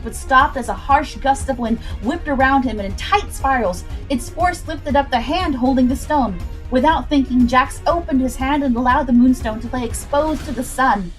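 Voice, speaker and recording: Fairly high-voiced woman narrating an adventure. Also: rough recording. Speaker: storyteller, livestream host. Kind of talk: dramatic scripted narration of an adventure story.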